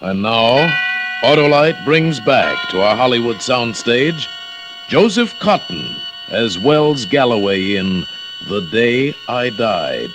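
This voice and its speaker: A man announces in a theatrical 1940s radio style. His delivery is slow and measured with dramatic swells in pitch, over loud music on a vintage broadcast. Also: sing-song.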